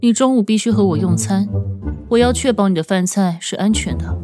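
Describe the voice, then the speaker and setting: A woman voicing a cold, stern female bodyguard, curt and deadpan, in an audiobook drama. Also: medium pitch, fairly quick, over music, lightly acted. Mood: firm, smug.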